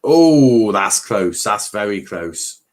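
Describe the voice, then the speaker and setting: A man's voice: fairly low pitch, sing-song, slow. A man hosting a livestream watchalong, giving casual race commentary with informal, sweary, joking asides.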